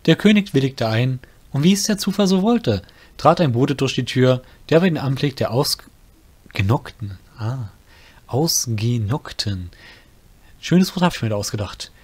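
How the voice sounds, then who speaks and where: A man's voice: medium pitch, animated, a little slow, husky. A man reading scripted fantasy narration as an audio drama narrator, breaking off to correct himself.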